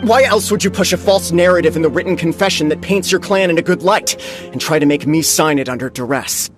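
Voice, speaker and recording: A man's voice: on the high side, a little fast, over music, lightly acted. A man voicing an earnest, dutiful young heir of a disgraced clan in a video game, speaking formally. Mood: indignant, firm. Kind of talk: dramatic video game voice acting.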